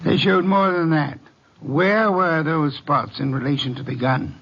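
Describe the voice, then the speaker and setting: A haughty older detective of old-time radio, with a fairly high voice and precise, imperious delivery. He is pompous and dry, and the recording is dull and aged. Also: unhurried, fairly bright tone. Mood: smug, lecturing. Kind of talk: 1940s-50s radio drama acting.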